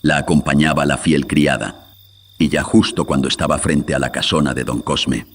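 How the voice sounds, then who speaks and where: A man's voice: steady tone, moderate pace, breathy edge. A man narrating a scripted horror radio drama in a solemn, dramatic storytelling manner, with a processed voice. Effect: deepening voice filter.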